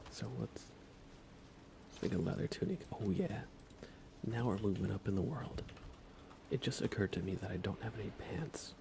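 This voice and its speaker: A man softly thinking aloud in an unhurried, whispered ASMR style as he narrates a video game livestream. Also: fairly low pitch, lively intonation, gravelly, background noise. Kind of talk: ASMR gaming commentary, whispered and soft-spoken.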